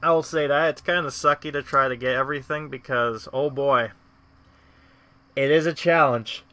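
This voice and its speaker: A man's voice: medium pitch, steady tone, moderate pace, pushed and bright, no room sound. A young man casually commenting on a mobile game, rambling with many fillers and trailing thoughts. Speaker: let's-play host.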